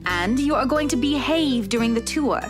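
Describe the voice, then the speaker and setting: A woman's voice: high-pitched, lively intonation, moderate pace, music in the background, voice pushed up. A woman voicing a bossy, exasperated young wife from a visual novel, haughty and scolding. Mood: stern, triumphant. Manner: commanding, clipped scolding.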